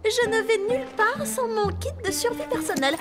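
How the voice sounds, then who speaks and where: A woman voicing a girly, pampered, chatty teenage girl in a French animated series, in a breezy, expressive manner. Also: very high voice, fairly quick, over loud music.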